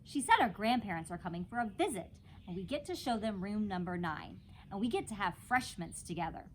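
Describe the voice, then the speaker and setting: A woman's voice: mid-range voice, lively intonation, fairly quick, slight background noise. A woman reading a children's book aloud as a storytime reader.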